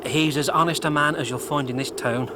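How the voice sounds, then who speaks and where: An actor playing a blunt, wary lodge member from a rough mining town in a period radio drama, with a folksy, plain-spoken manner. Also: male voice, on the high side, steady tone, a little fast, noisy background, natural voice. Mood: matter-of-fact.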